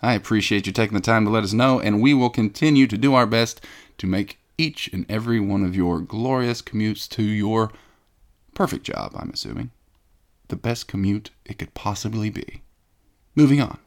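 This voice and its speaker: A low, gravelly male voice fronts a comedy podcast, reading out listener mail. He sounds pompous and self-important, and he gets mock-indignant at insults. His voice has a slight rough fry to it. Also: normal speed. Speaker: podcast host. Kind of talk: comedic scripted podcast banter.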